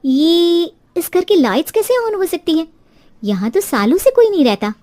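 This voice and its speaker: Sharp-tongued, excitable young housewife for an audio horror tale female reader, very high, dramatic, scolding. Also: moderate pace. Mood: frightened.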